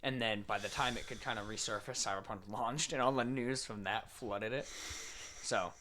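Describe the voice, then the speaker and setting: A man's voice: on the low side, normal speed, bright, forceful tone, slight background noise. A man co-hosting a podcast in casual, slangy conversation, thinking aloud as he goes. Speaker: podcast co-host. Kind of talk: casual chat about video games.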